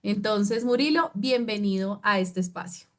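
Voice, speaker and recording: A woman's voice: mid-range voice, moderate pace. A woman, moderating a webinar and introducing things in an informal, chatty way.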